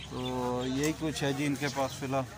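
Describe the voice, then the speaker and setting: A man's voice: mid-range voice, level tone, moderate pace. A man with a Pakistani Urdu accent touring an outdoor bird market on his phone, chatting casually and asking prices politely. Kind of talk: casual walking vlog with price haggling. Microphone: phone camera held while walking. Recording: loud street noise and bird chirps behind.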